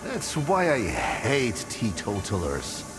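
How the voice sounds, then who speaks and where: An eccentric, boozy old-hand scientist for a video game. His delivery is slow and drawling, with pitch that swings dramatically as he rambles in sardonic philosophy. Music plays clearly behind him. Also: huge pitch swings, full character voice. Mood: wry, grudging. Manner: drawling, rambling, musing, with frequent hums. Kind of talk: theatrical video game dialogue.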